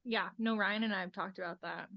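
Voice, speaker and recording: A woman's voice: mid-range voice, fairly even intonation, fairly quick, no room sound, dull audio. A woman chatting casually in an informal, conversational way. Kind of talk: casual chat.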